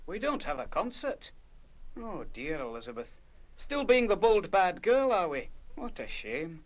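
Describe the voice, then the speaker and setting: An actor playing a kindly, encouraging Scottish music teacher in a children's radio play, gentle and coaxing. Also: male voice, high-pitched, sing-song, measured pace, poor audio. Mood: disappointed. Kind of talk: full-cast children's radio play acting.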